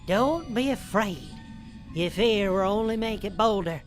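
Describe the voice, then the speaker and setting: Woman acting slow, grave old grandmother pony. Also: medium pitch, lively intonation, lightly acted. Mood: urgent warning. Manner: slow, grave, deliberate delivery. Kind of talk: scripted audio drama of fan fiction. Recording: faint music underneath.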